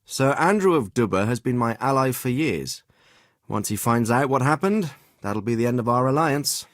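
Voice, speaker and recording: An actor playing a middle-aged noble lord and commander in a video game, with a measured, formal and paternal manner. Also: male voice, medium pitch, expressive, no echo, studio quality, close to an everyday voice. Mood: grave, deliberating. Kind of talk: acted video game dialogue.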